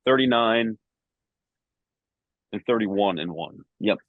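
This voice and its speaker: Low-voiced man on a tinny call line. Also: slow pace, dry recording. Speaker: podcast co-host. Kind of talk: casual sports chat. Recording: tinny phone-like remote line.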